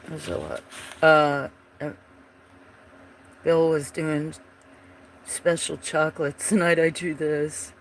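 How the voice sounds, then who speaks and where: A man with a froggy, gravelly voice talks slowly and loosely, drifting in and out as he turns away from the mic. A faint hiss sits under the home recording. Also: on the high side, level tone. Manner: slow, meandering, trailing off while searching. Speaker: livestream host showing his drawings. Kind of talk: casual rambling commentary.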